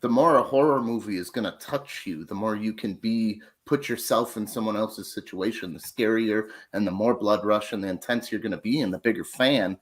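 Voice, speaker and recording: A man's voice: fairly low pitch, moderate pace, few pauses. A man from the United States co-hosting a podcast, joking and teasing in casual chat. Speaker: podcast co-host.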